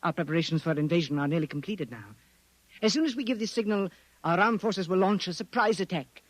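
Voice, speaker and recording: An actor in a 1950s radio drama playing a cruel, polite Martian invasion leader who speaks with deliberate, gloating menace. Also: male voice, high voice, no echo. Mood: gloating, fierce. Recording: vintage 1950s radio recording.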